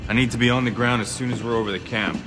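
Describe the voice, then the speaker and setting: An actor playing a gruff middle-aged covert operative who gives terse orders in a video game cutscene. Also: male voice, fairly even intonation, rapid-fire, close to an everyday voice. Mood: terse, commanding. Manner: terse, commanding. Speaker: field team commander. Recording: loud music and vehicle rumble behind.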